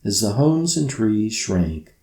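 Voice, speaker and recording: Slow, low-voiced man reading a storybook. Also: very animated, mellow. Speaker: author reading own book aloud. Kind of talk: scripted storybook narration. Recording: roomy echo.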